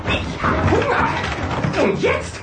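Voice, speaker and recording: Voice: takes it slowly, echoey room, over loud music, close to an everyday voice. An actor playing a bossy, short-tempered young male vampire in a radio play, with a commanding, impatient, sneering way of talking. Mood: gruff, excited. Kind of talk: German radio play acting.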